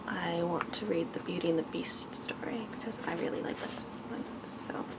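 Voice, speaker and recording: Soft, creaky-voiced woman reading ASMR bedtime story. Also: on the low side, normal speed, low-quality recording. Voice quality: soft, breathy, often slipping into whisper. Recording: noticeable background noise.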